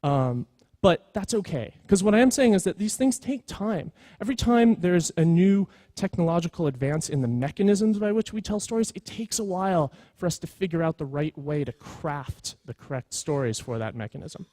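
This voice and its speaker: A man's voice: high-pitched, lively intonation, normal speed, mellow, no echo. A man presenting at a conference in an informal, earnest storytelling way, with emphatic points. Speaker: conference speaker, creative executive.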